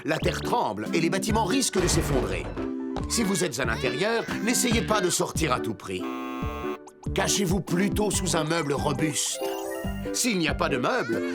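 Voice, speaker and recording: Brief: heroic cartoon rescue vehicle, reassuring instructor, lively intonation, music underneath. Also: male voice, on the high side. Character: heroic talking rescue fire truck, steady male safety teacher. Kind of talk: French-dubbed children's cartoon, explanatory.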